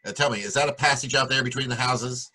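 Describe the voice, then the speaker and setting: A man playing a hard-boiled private detective in a 1940s-style radio drama, wry, brisk and tough-talking, with a husky voice. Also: medium pitch, pushed and bright, poor audio. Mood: brisk, commanding.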